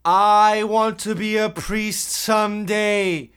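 Male actor as gee-whiz Depression-era kid, earnest, excitable, smooth high voice, radio serial spoof. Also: slow. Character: excitable, folksy Depression-era boy sidekick. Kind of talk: hobby old-time radio parody acting.

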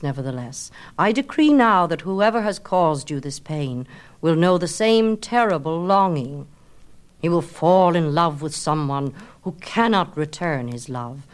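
A woman voicing a stately Greek goddess, compassionate yet vengeful, speaking in solemn decrees in a storybook. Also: sits low.

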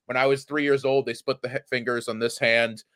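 A man's voice: medium pitch, level tone, fairly quick, fairly bright tone, dry recording. A man in casual podcast banter, a comedian telling about his act in a wry, joking way with stumbles and restarts.

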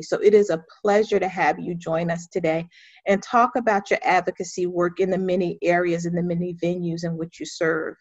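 A woman's voice: medium pitch, dry recording. Emphatic woman podcast host, dry lo-fi sound. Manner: warm, emphatic, faith-filled praise. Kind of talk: enthusiastic interview podcast.

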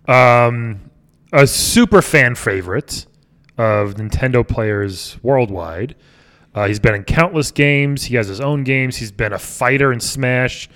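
A man's voice: medium pitch, fairly bright tone. A man co-hosting a comedy gaming podcast, joking and teasing in casual banter. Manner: jokey, teasing, quick with banter. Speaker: podcast co-host.

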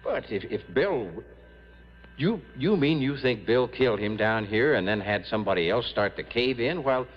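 A man's voice: mid-range voice, lively intonation, normal speed, soft background music, natural voice. An actor playing an earnest, hesitant small-town lawman in an old radio drama, stammering and repeating his words. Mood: protesting. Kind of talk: 1950s-60s radio drama acting. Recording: muffled vintage radio recording.

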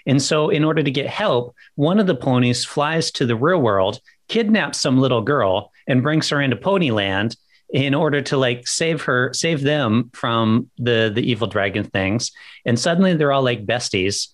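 Man, average pitch, casual podcast talk, wry, joking, conversational. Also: animated, moderate pace. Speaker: podcast host. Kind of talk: casual chat.

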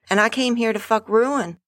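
A woman's voice: fairly high pitch, no echo, studio quality, voice pushed up, full character voice. A woman voicing a brash, flirty audience woman. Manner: bold, boastful and loud.

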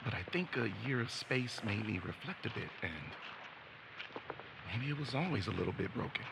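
A man's voice: lowish voice, expressive, normal speed, vocal fry. An actor playing a conflicted, self-deprecating young man in an audio drama, talking hesitantly and naturally. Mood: wistful, reflective. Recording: loud outdoor ambience noise.

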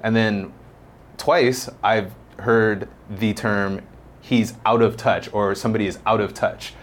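A man interviewing a candidate, asking questions in a relaxed, casual way with everyday slang. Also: slow pace. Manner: relaxed, casual, uses slang like low-key.

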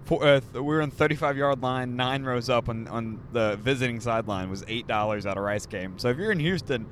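A man's voice: medium pitch, brisk. Male podcast host, rambling and jokey, handheld mic in a moving car, faint road hum. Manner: joking, rambling. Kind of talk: casual chat. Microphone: handheld mic passed around.

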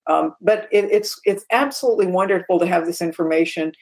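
A woman's voice: fairly low pitch, brisk, a little mellow. A woman co-hosting an aviation talk show, explaining points in a casual but emphatic way. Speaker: podcast co-host.

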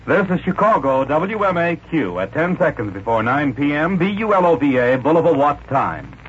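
Animated American male announcer, old radio. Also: fairly high pitch, normal speed. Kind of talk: scripted 1940s radio advert and station announcement. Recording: muffled, hissy 1940s radio broadcast.

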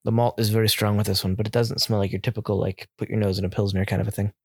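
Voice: low-pitched, level tone, a little mellow, slightly rough, dry recording. Playful podcast co-host, dry sound. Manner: playful, quick banter, teasing. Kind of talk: casual chat.

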